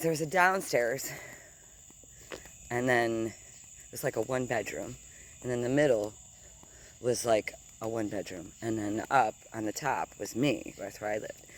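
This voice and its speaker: A deep-voiced woman in her thirties telling a rambling, digressive story while walking outdoors, swearing casually and sometimes dropping to a whisper. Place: outdoors on grass at night with insects.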